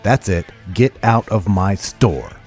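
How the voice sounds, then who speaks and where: An actor playing a gruff, scolding, threatening video store clerk in an audio drama. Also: male voice, lowish voice, expressive, slow pace, over music. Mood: annoyed, scolding.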